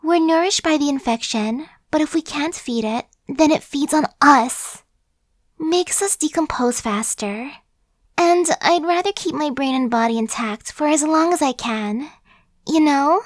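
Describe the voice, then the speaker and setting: A woman's voice: high-pitched, a little slow, dry recording. A woman voicing a chatty, friendly female zombie who coaxes and persuades in a casual, sly way, in an ASMR roleplay. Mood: persuasive.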